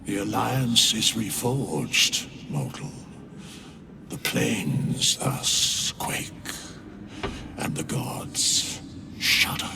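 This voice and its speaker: An ancient, solemn undead sage intones archaic game dialogue. The low voice is full of gravel and drags out each phrase with cold detachment, over clearly noisy ambience. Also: male voice, animated, full character voice. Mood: grave, cold. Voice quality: gravelly, creaky. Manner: portentous, deliberate, detached. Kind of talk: video game voice acting, archaic oracular speech.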